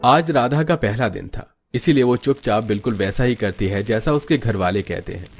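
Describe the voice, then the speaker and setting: A man's voice: medium pitch, expressive, normal speed, gravelly. A man reading scripted story narration, with music playing behind him. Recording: music under the voice. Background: background music.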